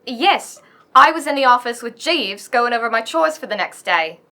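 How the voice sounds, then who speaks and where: An actress playing a saucy, chiding manor servant in a comic radio mystery, heard off the microphone. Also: female voice, a little high, expressive, moderate pace, pushed and bright. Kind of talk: old-time comic radio mystery acting.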